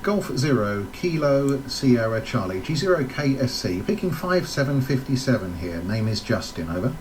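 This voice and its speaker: A man's voice: medium pitch, very animated, moderate pace, darkish tone. A man working as an amateur radio operator, speaking in clipped contact-exchange phrases. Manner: spells call signs in phonetics. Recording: clearly noisy radio-contact recording. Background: noise.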